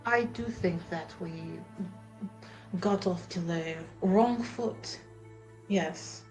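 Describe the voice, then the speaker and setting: A woman playing a tabletop roleplaying game, halting and informal as she narrates actions and improvises dialogue. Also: mid-range voice, a little mellow, faint music behind, rough recording. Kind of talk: casual narration of her character's actions mixed with improvised dialogue.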